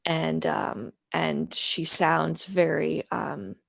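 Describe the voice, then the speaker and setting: A woman's voice: sits low, little pitch movement, drawn-out words, vocal fry, a bit bright, no echo, clean studio sound. A woman playing a tabletop game, talking casually and searching for words. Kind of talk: casual actual-play chat.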